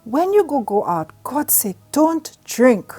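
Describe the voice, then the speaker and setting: A woman reader acts out a fretful mother, scolding and anxious over her teenage son. Her voice is high and emphatic, in dialect, with soft music behind. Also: very animated, takes it slowly, husky, dry recording, exaggerated voice. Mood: cross, scolding.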